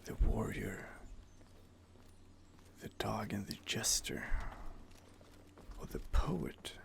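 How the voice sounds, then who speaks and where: A man whispering softly and rambling as he thinks aloud, doing ASMR game commentary close to the microphone. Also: lowish voice, takes it slowly, vocal fry. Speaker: streamer playing a roleplay game.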